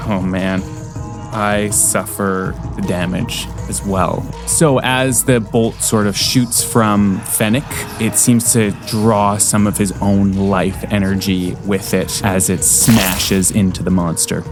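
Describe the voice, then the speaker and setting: A man playing a tabletop game, casually describing his character's actions in a halting, thinking-aloud way. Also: lowish voice, fairly even intonation. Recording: music behind the voice.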